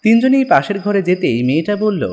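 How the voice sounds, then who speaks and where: A man's voice: high-pitched, sing-song, fairly quick, darkish tone. A man narrating a scripted horror story in a dramatic style.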